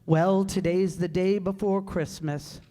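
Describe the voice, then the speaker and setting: An actor playing a patient senior angel in a radio play, narrating in a measured storytelling manner. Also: male voice, high-pitched, steady tone, dark tone. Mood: grave. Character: senior heavenly angel, patient storyteller briefing his underling. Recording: echoey hall, long reverb.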